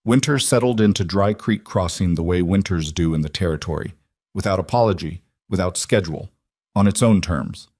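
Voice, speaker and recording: Low-voiced man narrating a western. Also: a little fast, darkish tone, no echo, studio quality. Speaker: audiobook-style story narrator. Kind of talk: scripted dramatic narration of a western tale.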